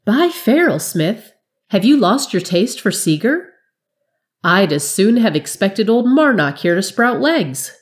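A woman's voice: mid-range voice, big pitch swings, measured pace, voice pushed up. A woman voicing a cherubic, owlish male drinking companion who teases his friend. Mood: teasing.

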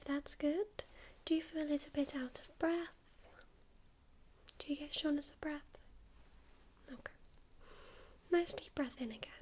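A woman whispering softly in a gentle, reassuring ASMR medical roleplay, calmly talking a listener through a check-up step by step. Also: high voice, a little hiss or hum. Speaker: ASMR creator playing a first-aid medic.

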